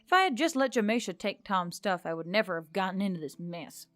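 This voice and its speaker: A woman's voice: mid-range voice, expressive, fairly quick, a bit bright, no room sound, faint music behind, natural voice. A woman voicing a reluctant, frustrated young heroine with a sardonic, informal manner, in an audiobook story. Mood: rueful.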